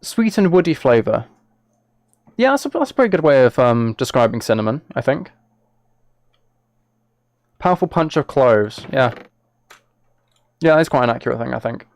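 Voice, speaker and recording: A man's voice: medium pitch, very animated, normal speed, gravelly, long pauses, no room sound. A man chatting casually on a live game stream, thinking aloud as he plays and replying to viewers.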